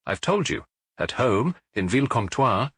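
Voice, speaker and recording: A man's voice: mid-range voice, sing-song, normal speed, a bit bright, husky, no echo, studio quality, pitched above the performer's own voice. A man voicing a curt, indignant boarding-house proprietress with clipped, grudging answers, for an audiobook.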